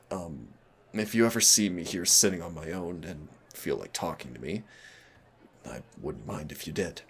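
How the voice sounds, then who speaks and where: A man playing a shy, gentle, nervous young man in an intimate audio roleplay, hesitant, rambling and self-deprecating. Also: low-pitched, husky, heavily acted. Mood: flustered, warm.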